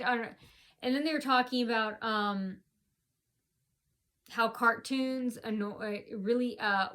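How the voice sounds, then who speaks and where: A woman's voice: on the high side, a bit bright. A woman vlogger talking straight to her viewers in a long, rambling monologue, full of fillers and restarts.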